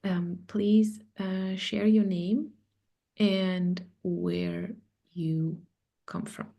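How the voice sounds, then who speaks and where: Woman host, Russian-born, soft and unhurried, lots of ums, somewhat low voice. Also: steady tone, creaky. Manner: slow and soft, many pauses and ums. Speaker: circle facilitator. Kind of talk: guiding an online sharing circle. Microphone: video call.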